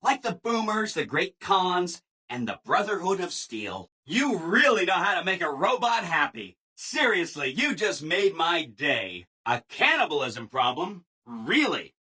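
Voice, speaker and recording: Eager-to-please game robot, chipper, gushing, bright forceful tone, swooping pitch. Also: male voice, very animated, unhurried, few pauses, slightly rough, full character voice. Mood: chirpy, eager. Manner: chipper, exaggeratedly agreeable, gushing. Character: relentlessly cheerful, eager-to-please male robot assistant. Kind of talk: video game character dialogue.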